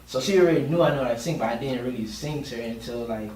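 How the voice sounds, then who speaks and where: A man's voice: medium pitch, fast, darkish tone. A man giving a casual podcast interview as a guest, speaking informally with plenty of slang. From Miami, Florida, USA. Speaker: guest singer and producer. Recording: roomy, distant mic, faint noise.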